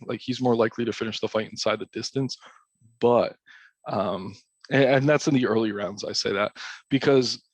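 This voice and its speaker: A man with a somewhat raspy, late-night voice chats casually through his analysis, pausing on ums and correcting himself as he goes. His pitch sits in the ordinary middle range. The sound is dry and close, with no room around it. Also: no echo. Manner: loose and conversational, frequent ums and restarts. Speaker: DFS strategy host.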